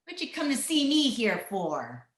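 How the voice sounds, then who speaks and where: A woman's voice: on the high side, wildly swooping pitch, a little slow. A woman playing a bitter, selfish old frontier widow in an old-time radio western, with taunting, rustic phrasing. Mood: mocking. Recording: roomy sound, recorded over internet call.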